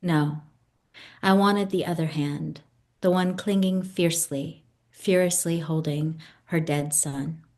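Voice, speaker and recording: Casting: woman poet in her 50s, Italian as first language, notably low voice, for readings and talk about her work. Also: steady tone, slow, darkish tone. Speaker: poet, guest. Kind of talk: poetry reading and conversation about own poems.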